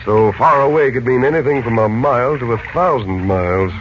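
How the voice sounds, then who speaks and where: An actor playing a steady, authoritative uncle who leads an expedition, in 1940s radio serial style. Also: male voice, mid-range voice, a little fast, natural voice. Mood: probing, serious. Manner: authoritative, probing. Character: steady, take-charge American uncle leading an expedition. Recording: muffled, noisy old radio recording.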